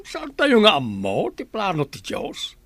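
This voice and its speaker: A man's voice: sits high, huge pitch swings, a little slow, a bit bright, dry recording, full character voice. A man playing a pious villager who admonishes others earnestly with rhetorical questions in an Ilocano drama. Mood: earnest, admonishing. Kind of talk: Ilocano radio-style drama acting.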